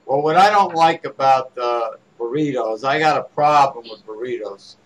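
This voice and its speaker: A man from the East Coast of the United States, a co-host in casual chat who talks haltingly with many fillers. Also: medium pitch, rough recording.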